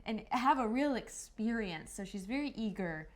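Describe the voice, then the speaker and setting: A woman's voice: on the high side, expressive, moderate pace. A woman player casually describing her character at a tabletop roleplaying game.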